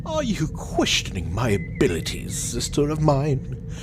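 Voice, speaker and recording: An actor playing a frail, proud and touchy reptilian scientist in an audio drama, speaking in a pompous, formal manner. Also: male voice, mid-range voice, wildly swooping pitch, unhurried, over music. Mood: indignant. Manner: pompous, formal, clipped and indignant. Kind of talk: audio drama villain acting.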